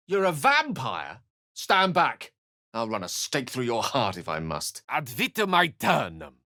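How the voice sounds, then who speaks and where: A man's voice: mid-range voice, fairly bright tone. A man voicing a learned, wry and romantic wizard in a video game, speaking in an articulate, theatrical way. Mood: urgent, then forceful incantation. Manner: theatrical, articulate, literary phrasing. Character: learned human male wizard, eloquent, wry, romantic and dramatic. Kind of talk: video game character voice acting.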